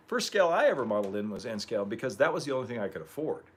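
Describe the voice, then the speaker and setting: Slightly hoarse man chatting on a livestream. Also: medium pitch, big pitch swings, racing. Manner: rambling, chuckling, frequent throat clearing. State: losing his voice. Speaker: livestream host. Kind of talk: casual livestream chat.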